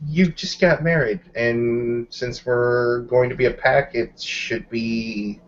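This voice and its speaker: A man's voice: medium pitch, steady tone, takes it slowly, natural voice. Guy playing a skeptical werewolf packmate lady in a tabletop game, wry and casual, dull lo-fi audio. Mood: frank.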